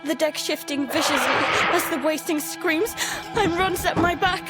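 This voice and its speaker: Scared child narrator in an audio drama: high voice, slow halting delivery, dramatic music underneath. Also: female voice. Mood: panicked. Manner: halting, repeating words, breathy urgency. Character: terrified child narrator protecting younger sibling at sea. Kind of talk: first-person present-tense dramatic narration. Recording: loud dramatic music behind.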